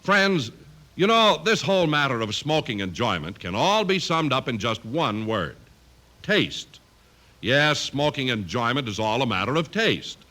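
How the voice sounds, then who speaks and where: A man's voice: mid-range voice, very animated, unhurried, bright, forceful tone. A man reading 1950s radio advertising and credits as an announcer, with emphatic salesman delivery.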